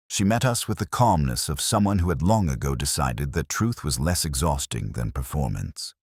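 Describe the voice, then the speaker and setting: A man narrating a scripted first-person detective story in a measured, brooding, literary manner. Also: very deep voice, breathy edge, dry recording, clean studio sound.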